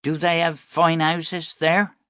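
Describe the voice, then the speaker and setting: A man voicing an innocent, eager young boy in a storybook, with an earnest and excitable manner. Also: on the high side, takes it slowly, clear, resonant tone, dry recording, studio quality, voice pushed up. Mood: earnest, questioning.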